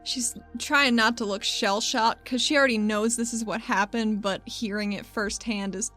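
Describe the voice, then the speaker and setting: A woman's voice: fairly high pitch, steady tone, moderate pace, fairly bright tone, faint music behind. A woman player describing her character in the third person during a tabletop roleplaying game.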